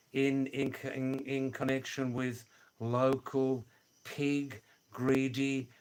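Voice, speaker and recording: An older man hosting a casual livestream from his home in the Himalayas, answering viewer comments, repeating words and chuckling. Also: medium pitch. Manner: slow, drawn-out, repeats words, chuckles "hoo hoo hoo". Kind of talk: casual live chat.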